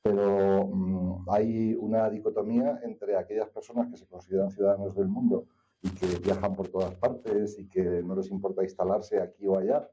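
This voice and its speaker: A man's voice: fairly low pitch, steady tone, normal speed, warm tone, soft background music. A middle-aged or older man from Castilla-La Mancha, with an accent from Spain, talking reflectively and hesitantly as an interview guest. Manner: hesitant, thoughtful, digressive. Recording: slightly dull, lo-fi sound.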